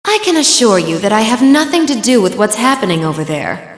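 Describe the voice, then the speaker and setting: A woman's voice: medium pitch, big pitch swings, brisk, close to an everyday voice. A woman voicing an elegant, coy villainess sorceress in a video game, sultry and condescending as she teases. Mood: mock concern. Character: elegant, teasing villainess sorceress speaking through her bird familiar. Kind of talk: English video game voice acting. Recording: roomy long reverb, music underneath. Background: music.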